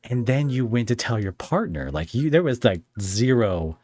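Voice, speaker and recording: A man hosting a podcast, chatting casually with a guest in halting, searching phrases that often turn into questions. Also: mid-range voice, sing-song, a little mellow, no echo. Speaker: podcast host interviewing a guest. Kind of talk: casual interview chat.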